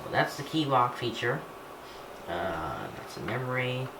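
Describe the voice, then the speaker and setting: Male hobbyist vlogger, unscripted and halting, half talking to himself, roomy sound with noticeable background noise. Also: mid-range voice, sing-song. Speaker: hobbyist vlogger unboxing gadgets. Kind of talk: casual unscripted chat while handling a device. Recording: echoey room, clear background noise.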